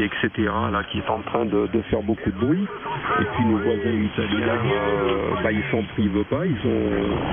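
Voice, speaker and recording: A man from France, chatting as a radio operator in a courteous, wordy way with frequent pauses. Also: fairly low pitch, very noisy. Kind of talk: radio contact chat. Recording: phone-like shortwave radio, loud interfering voices.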